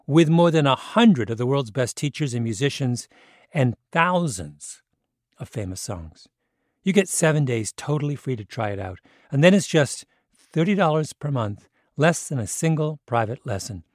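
A man's voice: mid-range voice, a little mellow, no room sound, studio quality. A man reading a scripted advert as a voice-over. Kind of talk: scripted ad read. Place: dry studio.

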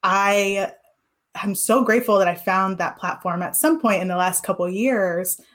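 A woman's voice: mid-range voice, moderate pace, a bit bright. A woman in her thirties, a guest in an interview, talking thoughtfully about her past with many fillers. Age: 30s. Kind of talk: reflective interview talk.

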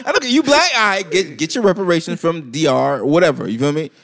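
A young man co-hosting a casual chat podcast, joking loudly and laughing often. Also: a little high, lively intonation, quick pace, vocal fry. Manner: loud laughing, joking, profane.

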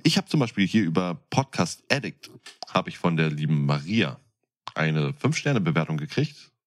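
A man's voice: deep voice, very animated, moderate pace. A man co-hosting a podcast, chatting casually in a playful, joking way. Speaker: podcast co-host. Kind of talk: casual chat.

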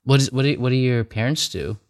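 A man's voice: medium pitch, fast talker. A man hosting a casual interview podcast, laid-back and brief, saying yeah a lot. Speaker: podcast host.

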